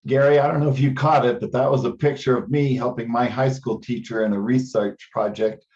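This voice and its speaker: A man's voice: mid-range voice, little pitch movement, fairly quick, darkish tone. A man speaking informally in a meeting, often repeating a word as he goes, over conference call audio. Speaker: teleconference participant. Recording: dull band-limited conference call audio.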